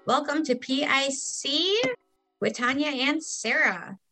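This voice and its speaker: A woman's voice: high voice, slow, pushed and bright, soft background music. A young adult woman co-hosting a podcast in casual, upbeat, jokey chat. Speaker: podcast co-host.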